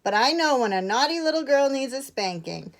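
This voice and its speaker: A woman's voice: fairly low pitch, animated, normal speed. Woman voicing a stern grown-up male storybook bear. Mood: stern, scolding. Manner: stern, sharp, solemn. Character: stern adult male toy bear, scolding but forgiving.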